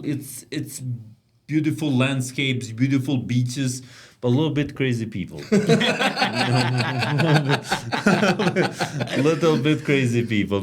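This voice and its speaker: A man talks as a podcast guest, upbeat and eager. He repeats words and phrases things in a way. His pitch sits in the middle for a man. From Kosovo. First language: Albanian. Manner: enthusiastic, repeats words, non-native phrasing. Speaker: restaurant owner, guest. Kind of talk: casual interview chat.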